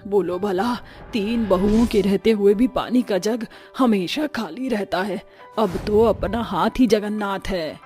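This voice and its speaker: Lady doing a fretful old saas in a cartoon story, slow n dramatic, faint music behind. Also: mid-range voice, a little mellow, lightly acted. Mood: grumbling. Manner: complaining, dramatic, drawn-out delivery. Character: frightened grumbling old mother-in-law in a horror folktale. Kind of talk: Hindi cartoon story voice acting.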